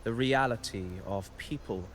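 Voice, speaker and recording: An actor playing a humble, cryptic poet in a video game, speaking in measured, ornate, theatrical phrases. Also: male voice, medium pitch, a little hiss or hum, close to an everyday voice. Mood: solemn, gentle. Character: male poetic bard narrator, humble, cryptic, courteous. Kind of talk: video game character dialogue.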